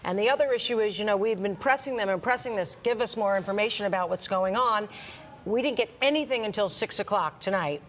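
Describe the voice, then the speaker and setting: A woman's voice: medium pitch, fast, slight background noise. A woman giving conversational live news analysis as a TV reporter, frank and explanatory.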